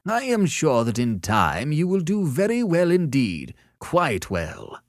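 A man's voice: on the high side, wildly swooping pitch, takes it slowly, no echo, clean studio sound, pitched above the performer's own voice, heavily acted. A man voicing a pompous, easily offended male artist in an audiobook story, talking with gracious condescension. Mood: patronizing.

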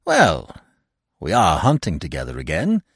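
Earnest lovestruck male sidekick read by a man, self-conscious, quick to indignation, highly animated delivery, dry audiobook sound. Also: husky, dry recording, heavily acted. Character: Poirot's male friend, earnest, susceptible, easily shocked romantic. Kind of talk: audiobook character voice.

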